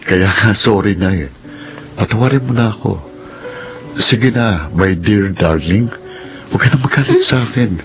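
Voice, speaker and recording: A man's voice: lowish voice, measured pace, long pauses. A man with a Filipino accent acting an earnest, tender young suitor in a romantic drama, with a pleading, sweet-talking manner. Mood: playful coaxing. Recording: music bed under dialogue.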